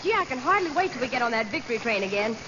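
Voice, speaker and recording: A woman's voice: on the high side, very animated, very fast. A woman voicing a starstruck, gushing young woman in a 1940s radio comedy. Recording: dull old radio with hiss.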